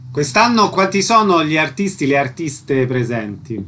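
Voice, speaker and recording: A male radio host with a slightly high-pitched voice. He puts questions and announcements to his guests in a conversational interview style. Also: big pitch swings, normal speed, sharp tone, slight background noise.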